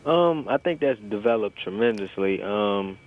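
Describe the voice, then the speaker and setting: Young American male athlete, early 20s, lowish voice, informal and hesitant, heard through a tinny telephone. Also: animated, normal speed. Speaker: college basketball player being interviewed. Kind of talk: informal interview answers. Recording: tinny, narrow phone line.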